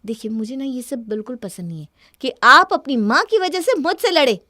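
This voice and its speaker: A woman's voice: high-pitched, lively intonation, brisk, sharp tone, no echo, clean studio sound. A woman voicing a spoiled, defiant young wife in a cartoon story, arguing in a dismissive, indignant way. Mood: irritated. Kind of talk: Hindi cartoon story dialogue.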